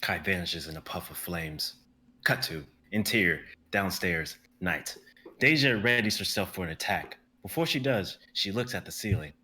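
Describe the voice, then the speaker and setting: A young man narrating stage directions in a live script reading, brisk and vivid, with the odd stumble. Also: sits low, animated, pushed and bright, a little hiss or hum. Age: young adult.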